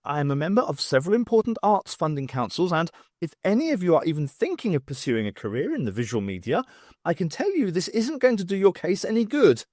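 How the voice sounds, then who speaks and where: A man's voice: high-pitched, very animated, fast talker, darkish tone, no echo, studio quality, voice pushed up. A man voicing a pompous, flustered, self-important male artist in an audiobook, with wordy pleading. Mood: indignant pleading. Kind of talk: audiobook character voice.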